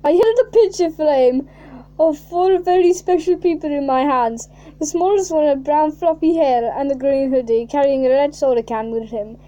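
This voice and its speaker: Woman reading aloud in a mellow voice. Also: sits high, normal speed, dull audio. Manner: reads flatly, breaks into shrieking, laughing asides. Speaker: reader of fan fiction. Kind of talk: mocking reading of fan fiction aloud.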